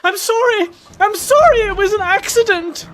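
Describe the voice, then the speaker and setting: A man's voice: extremely high pitch, moderate pace, fairly bright tone, soft background music. A man playing a terrified farm worker in an audio drama, pleading and crying out in panic. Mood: panicked.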